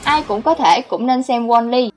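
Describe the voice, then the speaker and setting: A woman's voice: a little high, moderate pace, faint music behind. A woman reading a scripted voice-over narration as the narrator of a countdown video. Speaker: narrator of a film countdown channel.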